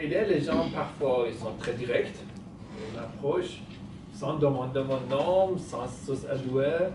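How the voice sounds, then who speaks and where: A man from Iran with an Iranian accent and Persian as his native language, giving a humorous personal storytelling talk to an audience. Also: a little high. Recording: echoey room, distant mic, clearly noisy.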